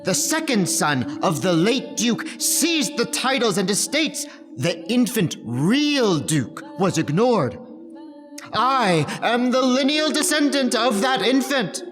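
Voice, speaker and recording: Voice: mid-range voice, big pitch swings, slow, big-room sound, music in the background. An actor playing a forlorn male con artist posing as a wronged duke, lofty and self-pitying, in a dramatic reading of a classic novel.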